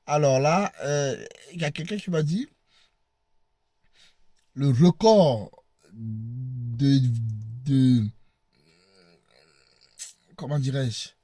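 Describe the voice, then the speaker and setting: Man, hoarse from a cold, slow halting vlog delivery, animated pitch. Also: dry recording, clean studio sound. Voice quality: husky. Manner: halting, repeats words, laughs often. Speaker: video blogger. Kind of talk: casual vlog to viewers. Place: in a parked car.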